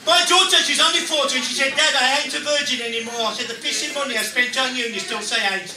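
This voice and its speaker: A man's voice: very high voice, fast. A middle-aged man with a Birmingham accent doing bawdy, brash, punchy stand-up on a club stage. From Birmingham, England. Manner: brash, punchy joke delivery with swearing. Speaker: stand-up comedian. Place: small club stage, indoors. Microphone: handheld stage mic, picked up by far camera. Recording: echoey, very noisy club, distant camera microphone. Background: noisy laughing audience.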